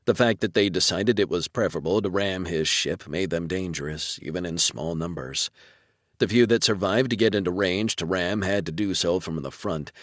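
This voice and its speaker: A man's voice: low-pitched, no echo, clean studio sound. A man reading scripted narration for a military science fiction audiobook.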